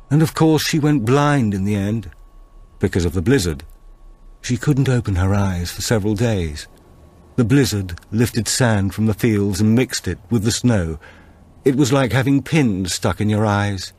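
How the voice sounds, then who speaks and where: A man's voice: lowish voice, a little slow, darkish tone, pitched above the performer's own voice. A man reading an audiobook, voicing a brusque, difficult older woman who talks in a curt, dismissive way. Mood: matter-of-fact.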